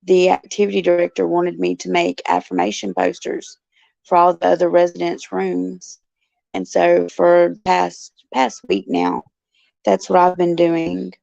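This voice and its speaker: A woman hosting her show with a raspy, gruff voice, chatting in a folksy way and answering viewers by name from a care facility. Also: lowish voice, unhurried, a little mellow, no echo. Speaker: show host. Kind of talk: casual chat, answering viewers. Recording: dull lo-fi, dry sound.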